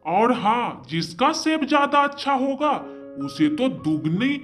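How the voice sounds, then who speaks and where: A man's voice: very high voice, sing-song, slow pace, fairly bright tone, faint music behind, exaggerated voice. A man voicing an authoritative, generous bird king of the forest, speaking patiently and declaratively in a children's moral-story cartoon. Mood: declaring.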